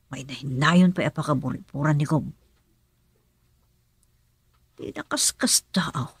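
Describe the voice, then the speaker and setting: A woman's voice: on the low side, husky, dry recording, heavily acted. A woman with an Ilocano accent playing a guarded investigator musing in a radio drama. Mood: brooding.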